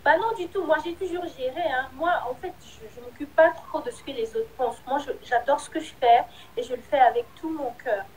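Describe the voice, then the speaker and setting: High-voiced woman singer. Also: fairly quick, hardly any pauses, rough recording. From Republic of the Congo. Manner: candid, hesitant, trailing off. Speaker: singer, interview guest. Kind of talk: casual interview.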